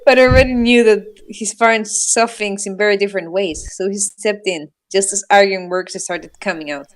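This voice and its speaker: A woman's voice: mid-range voice, fairly even intonation, normal speed. A woman reading fan fiction aloud in a casual, informal way, slipping into giggly banter as co-host.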